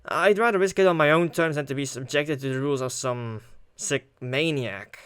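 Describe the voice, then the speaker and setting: A man's voice: a little high, moderate pace, fairly bright tone, a little hiss or hum. A man doing casual let's play commentary with wry asides, reading along and reacting as he goes. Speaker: gaming video host.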